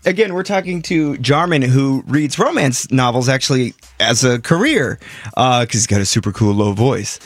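A young man hosting a morning radio show, jokey and animated, speaking into a studio mic. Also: mid-range voice, normal speed, no room sound. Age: young adult.

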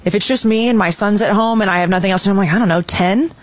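A woman's voice: mid-range voice, fast talker. Chatty woman from Texas. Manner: chatty, animated storyteller. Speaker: guest co-host. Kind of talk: casual chat.

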